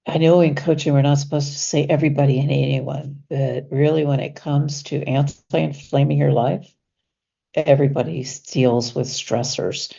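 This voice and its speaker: A woman coach talking casually as an interview guest, sounding stuffy from allergies, with laughs and fillers as she slows down to stress words. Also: deep voice, fairly even intonation, gravelly, dark tone, slightly rough, dull audio.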